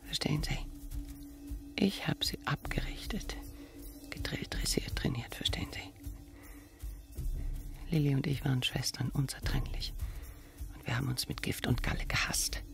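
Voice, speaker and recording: An actress playing a bitter, vengeful, murderous aunt in a German radio crime drama. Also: female voice, normal speed, vocal fry, long pauses, soft background music. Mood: cold bitter confession. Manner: hissing whispers rising to shrill outbursts.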